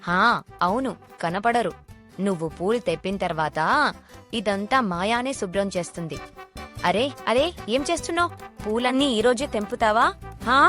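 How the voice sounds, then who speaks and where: A woman's voice: mid-range voice, lively intonation, normal speed, pauses often, soft background music, lightly acted. A woman voicing an elderly, plain-spoken village woman in a cartoon moral story. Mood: scolding.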